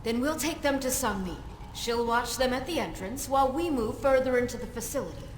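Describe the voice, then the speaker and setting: A woman's voice: sits high, brisk, lightly acted. A woman playing a stern, impatient mission leader in a video game, with a curt, commanding manner. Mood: brisk, firm. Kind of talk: video game cutscene acting. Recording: roomy echo, game ambience noise behind.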